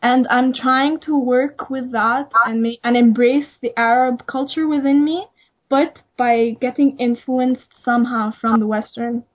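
A woman's voice: sits high, steady tone, unhurried. A young woman, an emerging designer from Egypt, answering interview questions in a hesitant way with many fillers. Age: young adult. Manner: hesitant, many ums and likes. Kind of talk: casual interview. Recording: dull lo-fi sound.

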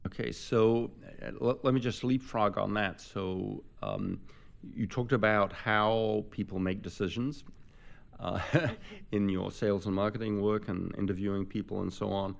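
An older man interviewing a guest in a conversational way, halting, with many fillers and restarts. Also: on the low side, lively intonation, vocal fry. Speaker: interviewer, professor.

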